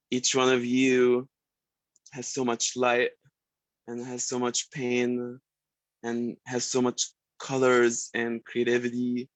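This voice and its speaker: A man with an Egyptian Arabic accent speaks slowly and gently on a video call, pausing and searching for words with frequent ums. His pitch stays flat and even, and his voice sounds dry and close. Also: dry recording, low-quality recording. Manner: hesitant, many ums, gentle and heartfelt. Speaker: participant introducing themself. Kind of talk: casual self-introduction.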